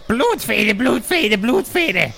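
A man voicing a grumpy, creaky old swamp hag with gills, muttering and groaning in an improvised audio role-play. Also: very high-pitched, sing-song, slow, a bit bright, voice pushed up, heavily acted. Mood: grumbling, hostile. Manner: muttering repeated words, groaning. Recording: clear background noise, chirping night ambience.

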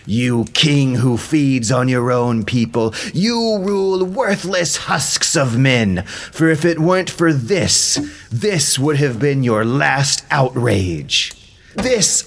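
A man playing a furious, proud young Greek warrior in an audio drama, declaiming with contempt in drawn-out phrases. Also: a little high, fairly bright tone, pitched above the performer's own voice, full character voice. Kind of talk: audio drama epic acting.

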